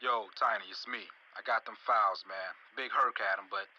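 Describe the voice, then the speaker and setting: A man's voice: lowish voice, steady tone, a little hiss or hum, natural voice. A man playing a laid-back crew member who talks casual street slang in a game phone call. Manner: casual street talk, unhurried.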